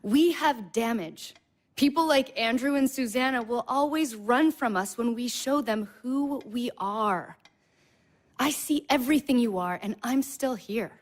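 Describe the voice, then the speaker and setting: Wounded young woman, higher voice, deliberate, insistent, clinging, modern audio drama. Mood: pleading, intense. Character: intense, wounded, clinging young woman who won't let go. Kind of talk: contemporary audio drama acting.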